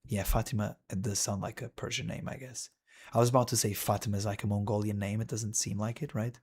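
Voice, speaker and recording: A man's voice: fairly low pitch, little pitch movement, fast, a little mellow, breathy edge. A male streamer talking casually and wryly while browsing a list, rambling with frequent "dude" and "man".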